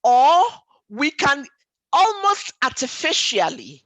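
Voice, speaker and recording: Slow, animated woman giving a health lecture. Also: sits high, dry recording, rough recording. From Ghana or the Caribbean. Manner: didactic, emphatic, repeats key points, asks rhetorical questions. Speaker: doctor giving a health webinar. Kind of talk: explanatory lay health lecture.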